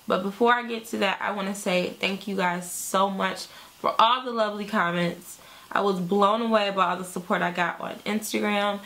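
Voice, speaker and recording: A woman's voice: lowish voice, creaky, a bit bright, low-quality recording. Chatty woman in her thirties vlogging. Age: 30s. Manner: chatty, upbeat, says "like" and "y'all" often. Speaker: beauty vlogger. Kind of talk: casual chat to camera.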